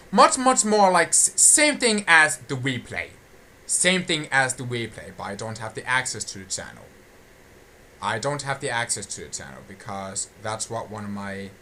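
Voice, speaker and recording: A man with a bright, forceful voice talks casually through an unscripted audio log. He halts and circles back, restating his phrases as he goes. There is faint noise in the recording. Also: medium pitch. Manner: halting, repetitive, often restating phrases. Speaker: channel host giving an update.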